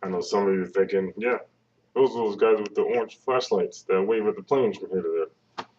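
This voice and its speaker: A man with a deep, relaxed voice gives an informative talk in plain, conversational language. He explains things step by step. The webcam microphone sounds some distance away from him. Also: fairly quick, lo-fi sound. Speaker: air traffic control presenter.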